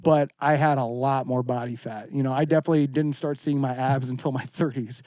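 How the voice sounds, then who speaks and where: A man's voice: medium pitch, steady tone, a little fast, warm tone, dry recording, clean studio sound. A man in his thirties talking candidly and earnestly as a podcast guest, reflective and full of casual slang. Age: 30s. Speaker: podcast guest, fitness competitor.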